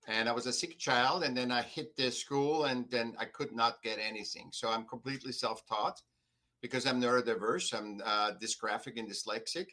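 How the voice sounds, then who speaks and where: Older austrian guy as podcast guest, sharp bright voice, telling his story, stops and restates stuff with emphasis. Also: mid-range voice, steady tone, moderate pace. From Vienna, Austria. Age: 50s or older. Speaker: podcast guest, artist and author. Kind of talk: conversational personal storytelling.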